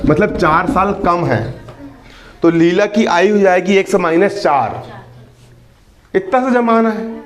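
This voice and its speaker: A man in his early twenties teaching a maths class. He keeps repeating questions to the students and teases and scolds them. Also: sits high. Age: 20s. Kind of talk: classroom lesson. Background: students in the room.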